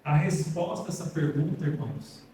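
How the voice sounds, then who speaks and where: A man preaching in a big echoey church hall, heard from a distance off the microphone. His voice sits high for a man yet mellow in tone, and he talks plainly and earnestly to his listeners. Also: lively intonation, moderate pace, slight background noise. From Brazil. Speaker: pastor. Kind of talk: sermon.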